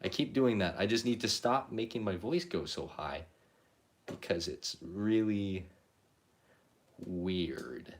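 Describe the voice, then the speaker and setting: A young man chatting casually while painting on a live stream, rambling and self-deprecating, his pitch rising at the ends of phrases. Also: slow, pauses often. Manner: rambling, self-deprecating, reads chat comments aloud. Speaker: painting livestreamer.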